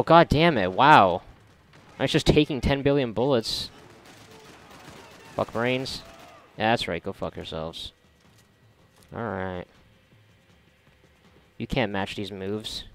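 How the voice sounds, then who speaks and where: A man giving casual, reactive commentary while gaming, sarcastic and foul-mouthed, with a gravelly voice. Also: on the low side, a bit bright. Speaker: gamer recording a playthrough. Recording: noisy, game gunfire behind voice. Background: video game gunfire and ambience.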